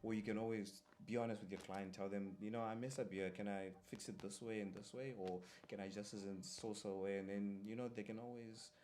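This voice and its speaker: A young man from Zimbabwe speaks as an interview guest in a very low, deep voice. He talks in a soft-spoken, relaxed, easygoing way. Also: brisk, few pauses, a little hiss or hum. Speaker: guest artist. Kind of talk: casual interview.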